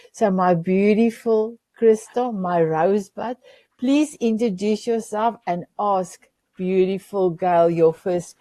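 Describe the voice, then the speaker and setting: A woman's voice: mid-range voice, dark tone, no echo. A woman whose first language is Afrikaans, hosting a talk show in an effusive way, often searching for words. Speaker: show host.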